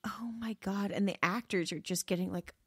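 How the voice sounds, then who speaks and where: A young woman co-hosting a podcast, reacting with quick gasps and playful teasing in casual chat. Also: mid-range voice, fairly even intonation, fairly bright tone, slightly rough, no echo, clean studio sound. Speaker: podcast co-host.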